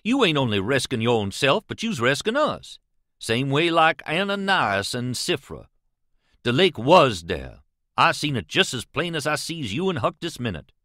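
A man's voice: mid-range voice, huge pitch swings, normal speed, bright, forceful tone, no echo, clean studio sound. A man voicing a frightened, superstitious and stubborn grown man in an audiobook, with a Southern US accent and earnest, emphatic dialect speech. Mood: pleading, distressed. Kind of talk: audiobook character voice.

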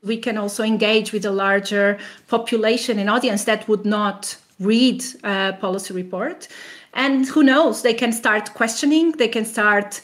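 A woman's voice: medium pitch, fairly even intonation, moderate pace. A woman academic being interviewed, explaining in a conversational way with rhetorical questions and frequent "you know" asides.

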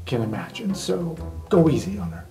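A man's voice: fairly high pitch, big pitch swings, normal speed, mellow, faint music behind. A man playing a blunt, protective young male superhero in an improvised tabletop roleplay, hesitant at times. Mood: earnest, reproachful.

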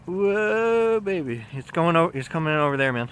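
Bright-voiced man chatting outdoors while fishing. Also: a little high, big pitch swings, normal speed. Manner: slangy, exclaiming to himself and companion. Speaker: vlogger fishing from shore. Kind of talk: casual excited fishing chat. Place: outdoors on a riverbank. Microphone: action camera worn on the body. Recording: outdoor action camera with clear background noise.

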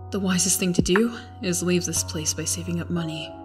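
A woman's voice: lowish voice, natural voice. A woman voicing a tough, cynical, foul-mouthed young street fighter in a comic fan dub. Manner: blunt, cynical.

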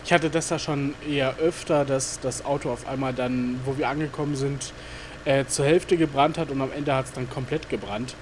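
A man's voice: medium pitch, fairly even intonation, moderate pace, background noise. A man giving casual let's-play commentary, rambling with many fillers and muttering to himself. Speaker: gaming YouTuber.

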